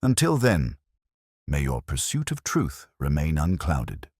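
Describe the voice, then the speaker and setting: A man's voice: rumbling low, wildly swooping pitch, a little slow, darkish tone, no room sound, studio quality, full character voice. A man voicing a grateful, secretive Victorian woman's letter in a solemn, formal manner, in an audiobook story. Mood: solemn gratitude.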